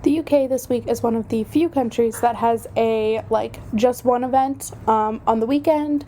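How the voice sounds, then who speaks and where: Chatty young woman, a little hoarse. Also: fairly high pitch, normal speed, warm tone, slight background noise. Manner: chatty, self-deprecating, many fillers. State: slightly hoarse from a cold. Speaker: podcast host. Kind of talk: casual solo podcast chat.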